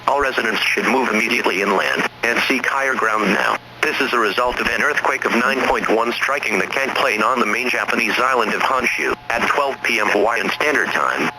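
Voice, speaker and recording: A man's voice: mid-range voice, fairly quick, few pauses. A man reading a formal emergency alert as a broadcast announcer. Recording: noisy radio-style alert broadcast.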